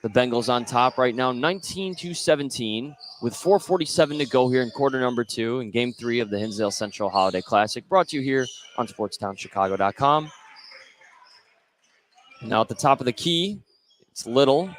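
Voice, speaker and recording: A man doing live play-by-play radio commentary of a high school basketball game. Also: expressive, moderate pace. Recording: noisy gym ambience behind the voice.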